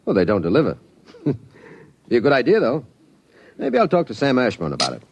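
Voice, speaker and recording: A man's voice: mid-range voice, huge pitch swings, a little fast, no echo. An actor playing a jovial, folksy middle-aged American father in an old-time radio drama. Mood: relaxed, fatherly. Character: hearty, teasing middle-aged father of a teenage boy.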